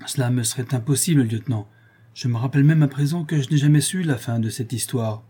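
A man's voice: mid-range voice, fast talker, dark tone, slightly rough, pitched above the performer's own voice, lightly acted. A man voicing a sly, servile sailor in a storybook, speaking in a falsely meek, evasive manner. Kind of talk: acted audiobook character dialogue.

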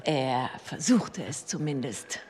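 A woman's voice: very deep voice, lively intonation, slow, a little mellow, exaggerated voice. A woman acting in a German radio drama as a genteel society hostess with a refined, formal way of talking. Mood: concerned, confiding. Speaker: actor in a radio play.

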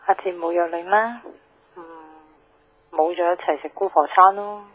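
A woman's voice: on the low side, steady tone, normal speed, a little hiss or hum, lightly acted. An actress playing a chatty, blunt young woman with a Hong Kong accent, grumbling and teasing casually in a radio drama. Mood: playful, teasing. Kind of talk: naturalistic radio drama dialogue. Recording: tinny, dry telephone line.

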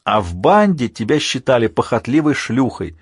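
A man voicing an insolent, taunting villain in a high, drawn-out voice for an audiobook. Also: very animated, husky, dry recording, studio quality. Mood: mocking. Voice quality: high-pitched voice. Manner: mocking, drawn-out delivery. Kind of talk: dramatic audiobook character voice.

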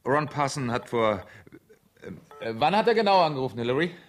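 An actor playing a soothing, slightly hesitant husband in a German radio crime thriller, speaking in a measured way. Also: male voice, mid-range voice, sing-song, lightly acted. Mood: urgent, businesslike. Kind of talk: German radio drama acting.

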